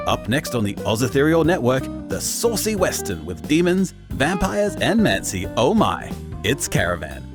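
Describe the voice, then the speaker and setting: Animated male announcer over guitar music. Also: mid-range voice, moderate pace. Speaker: podcast credits announcer. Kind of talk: scripted credits and promo narration.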